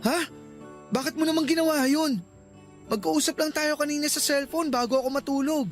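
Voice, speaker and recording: A man's voice: very high-pitched, steady tone, fairly quick, faint music behind. A man playing an earnest young boyfriend in a radio drama, pleading and puzzled. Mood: concerned. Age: young adult.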